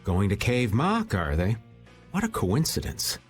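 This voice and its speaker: A man's voice: on the low side, huge pitch swings, a little slow. A man voicing a shady, jovial middle-aged drifter in a video game, theatrical and teasing with feigned innocence. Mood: sly amusement. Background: game music.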